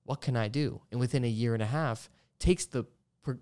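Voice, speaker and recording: A man's voice: medium pitch, fairly quick, a little mellow. A male podcast host with a mid-range voice chats casually with his guest. He sounds enthusiastic and often stammers, repeating syllables before getting the word out. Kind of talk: casual conversational interview.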